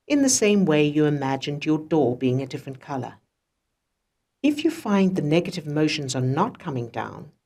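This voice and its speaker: A woman narrating a self-help audiobook as its author, in a measured, instructional way with deliberate phrasing. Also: low-pitched, a little mellow. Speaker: author reading own audiobook.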